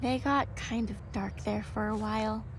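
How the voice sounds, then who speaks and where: A woman acting a gentle, devout young student in a video game, fragile but hopeful, earnest and sincere. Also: mid-range voice, fairly even intonation, moderate pace, background noise, close to an everyday voice. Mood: grateful, hopeful. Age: young adult. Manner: soft-hearted, earnest, sincere.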